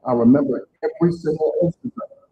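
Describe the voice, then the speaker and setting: Gravelly man telling his story. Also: fast talker. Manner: storytelling, emphatic, plain-spoken. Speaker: speaker at community event. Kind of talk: personal testimony to a live audience. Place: church event hall. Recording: tinny phone-like sound, faint rumble.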